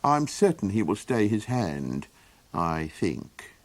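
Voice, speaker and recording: A man's voice: lowish voice, big pitch swings, slow, voice pushed down, heavily acted. A man voicing a fussy, muddled little helper creature in a storybook, making quaint, earnest pronouncements. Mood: earnest, scheming.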